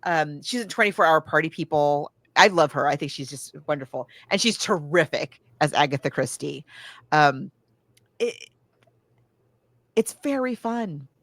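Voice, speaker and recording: A woman's voice: deep voice, lively intonation, unhurried, fairly bright tone, dry recording. A woman co-hosting a podcast, chatting casually and with gushing enthusiasm about films and books. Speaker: podcast co-host. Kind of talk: casual film and book discussion.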